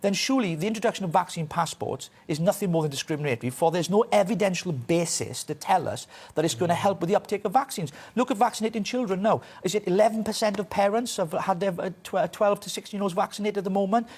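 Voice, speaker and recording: A man's voice: high-pitched, darkish tone, breathy edge. A Welsh man giving forthright, opinionated political commentary in a radio interview. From Wales. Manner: forthright, opinionated, quick delivery.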